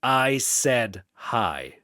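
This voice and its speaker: A man voicing a gruff, defiant, feral brawler who taunts and growls in an animated story. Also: mid-range voice, extremely slow, no echo, clean studio sound, heavily acted. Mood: growling defiance.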